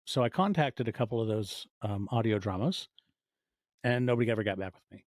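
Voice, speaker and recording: A man's voice: on the low side, animated, brisk, dry recording. A man in his forties speaking as a podcast guest, telling stories casually and acting out little quoted asides in conversation. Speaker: podcast guest, audio fiction producer. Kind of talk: casual interview storytelling.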